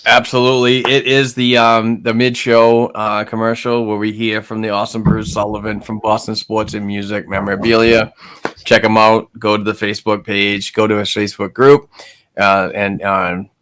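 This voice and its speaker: A man co-hosting a casual hockey podcast, halting and full of fillers. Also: fairly low pitch, flat delivery, a bit bright, smooth. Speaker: podcast co-host. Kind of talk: casual chat.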